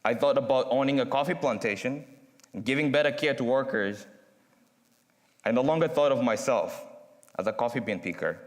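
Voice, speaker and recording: A young man from Kenya with a Kenyan accent, giving an earnest, measured student speech with dramatic pauses. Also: medium pitch. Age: young adult. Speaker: student speaker. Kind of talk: prepared speech read aloud. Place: university chapel. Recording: long reverb from a large chapel.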